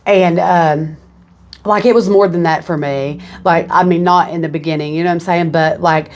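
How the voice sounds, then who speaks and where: A woman's voice: on the low side, steady tone, normal speed. Young woman with a Kentucky accent. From southeastern Kentucky. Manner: informal, many fillers like "you know what I'm saying". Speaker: podcast guest. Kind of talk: casual interview.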